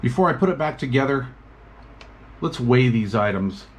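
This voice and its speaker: A man's voice: medium pitch, normal speed. A man with an everyday mid-range voice talks through a product review in a loose, chatty way, full of little fillers and restarts. He sounds like he is working at a tabletop as he talks. A faint hiss sits under the recording. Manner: relaxed, chatty, many fillers. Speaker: radio gear reviewer.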